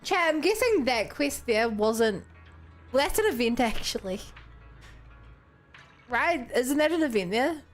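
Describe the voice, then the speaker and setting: Lively high-pitched New Zealand woman streamer. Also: measured pace, pauses often, faint music behind. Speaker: livestreamer. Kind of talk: casual game stream chat and reactions.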